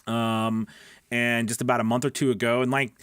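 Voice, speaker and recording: A man's voice: medium pitch, little pitch movement, moderate pace, a bit bright, clear, resonant tone, no room sound, studio quality. A man hosting a voice-over training livestream, chatting casually with viewers and answering their comments in an encouraging, emphatic, conversational way.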